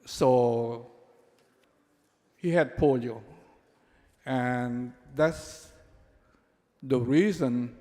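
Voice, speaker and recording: Slow-speaking man in a reverberant chamber. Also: medium pitch, a little mellow. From Vietnam. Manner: slow, halting, repeats words. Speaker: state representative. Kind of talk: floor debate questioning. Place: large legislative chamber.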